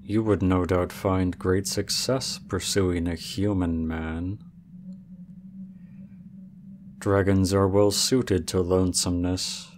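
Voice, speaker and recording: A man's voice: deep voice, steady tone, a little slow, hardly any pauses, a little mellow, slight background noise. A man playing a proud, aloof male dragon in human form, speaking formally and with cool reserve in an ASMR roleplay.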